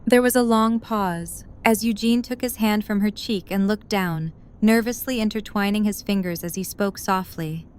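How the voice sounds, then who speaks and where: A woman's voice: medium pitch, moderate pace, hardly any pauses, slight background noise. A woman reading scripted story narration as the narrator of a drama.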